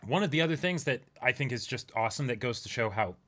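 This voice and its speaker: Rambling, excited male podcast host. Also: mid-range voice, fast. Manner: enthusiastic, rambling, many "like" fillers. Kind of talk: casual chat.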